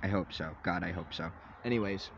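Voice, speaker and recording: A young man ranting loudly and rambling into his phone from inside a parked vehicle. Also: low-pitched, fairly quick, gravelly, muffled recording, noisy background. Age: young adult. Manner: loud, agitated, rambling rant. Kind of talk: ranting selfie vlog. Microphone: phone at arm's length.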